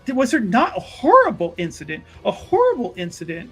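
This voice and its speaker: A woman's voice: on the high side, huge pitch swings, fairly quick, fairly bright tone, breathy edge, faint music behind, full character voice. A woman playing a probing, flustered and overly polite church official in a tabletop roleplay, stammering and repeating phrases. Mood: feigned curiosity, probing.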